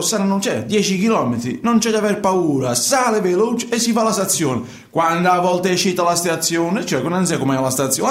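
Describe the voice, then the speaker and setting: An eager, insistent sidekick in a radio drama. His high voice leaps up and down as he rambles and stumbles. The sound is roomy and echoing. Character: excitable pleading male sidekick, eager and rambling. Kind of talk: theatrical radio comedy dialogue.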